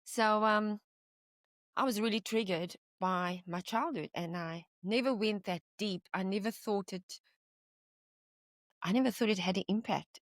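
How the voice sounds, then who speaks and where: Middle-aged female guest from South Africa, medium pitch, chatty storyteller, dry close sound. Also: measured pace, dry recording. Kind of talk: personal storytelling in an interview.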